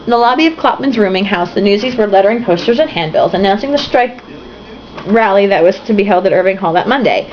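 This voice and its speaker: A woman's voice: mid-range voice, animated, fast, slight background noise. A tipsy young woman reading a novel aloud, breaking off with swearing, giggling asides, on a slightly muffled home recording. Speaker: reader.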